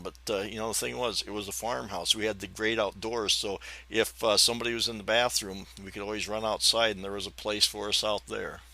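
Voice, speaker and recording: A man around fifty with an American accent and a lowish, bright, forward voice. He reminisces in a folksy, rambling way, halting often, and his speech carries a slight whistling lisp. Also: creaky. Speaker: podcast host. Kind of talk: reminiscing personal storytelling.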